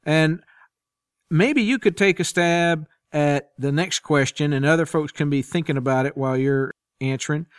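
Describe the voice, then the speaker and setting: Smooth, higher-voiced man hosting. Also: moderate pace, no room sound, studio quality. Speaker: podcast host. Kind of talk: panel interview questioning.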